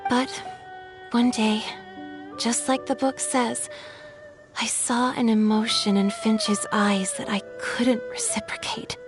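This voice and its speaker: A woman playing a gentle, lovelorn water spirit in a video game, speaking in earnest, poetic phrases. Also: fairly high pitch, expressive. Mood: wistful reminiscing. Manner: earnest, poetic, measured storytelling. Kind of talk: scripted video game voice acting. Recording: music behind the voice.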